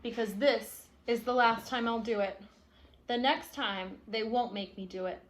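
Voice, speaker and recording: A woman's voice: on the high side, a little slow, slight background noise, close to an everyday voice. A woman voicing a stubborn young storybook boy who refuses to move, speaking emphatically and defiantly. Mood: sulky, defiant. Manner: emphatic, defiant first-person storybook delivery.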